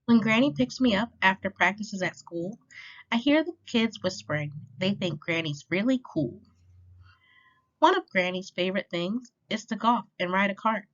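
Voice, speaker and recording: Expressive woman reading aloud, lively pitch. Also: normal speed. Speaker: storytime reader. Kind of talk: children's picture book read-aloud. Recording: dull lo-fi sound.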